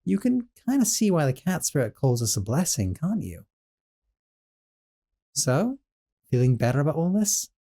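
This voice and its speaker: A man's voice: on the high side, very animated, moderate pace, pauses often, breathy edge, exaggerated voice. A man playing a doting sorcerer roommate. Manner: soft, doting, a little flustered. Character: doting young male sorcerer roommate, gentle. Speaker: audio roleplay performer. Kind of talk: scripted audio roleplay.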